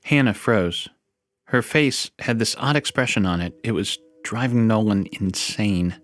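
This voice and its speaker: A man's voice: on the low side, measured pace, no echo. A man reading as narrator in a scripted podcast style. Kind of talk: scripted dark story narration and podcast promo read.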